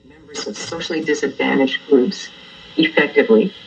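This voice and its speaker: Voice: mid-range voice, animated, normal speed, creaky, soft background music, rough recording. A person making formal spoken remarks, heard through the speaker of an old radio.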